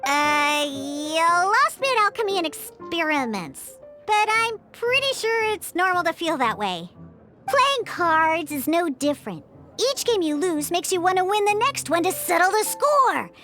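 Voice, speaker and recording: A woman's voice: extremely high pitch, big pitch swings, a little slow, sharp tone, faint music behind, exaggerated voice. A woman voicing a feisty, proud little cat-girl bartender in a video game, blunt but grudgingly encouraging. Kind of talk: video game character dialogue.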